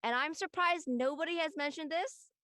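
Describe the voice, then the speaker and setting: A woman with a rather high voice explains her views as a panel guest. Her delivery is casual and conversational, and the recording is dry with little room sound. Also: normal speed, sharp tone, dry recording. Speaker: actor on a drama panel.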